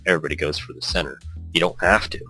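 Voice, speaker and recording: Deep, bright-voiced man narrating a game. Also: fairly even intonation, rapid-fire, breathy edge, poor audio. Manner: rambling asides. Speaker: let's play host. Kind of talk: casual commentary and reading visual novel text aloud. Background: background music.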